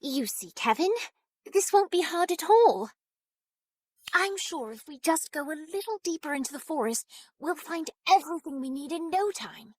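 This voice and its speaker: A woman's voice: sits high, animated, moderate pace. A woman voicing a confident, adventurous schoolgirl witch who is breezy and reassuring in a video game cutscene.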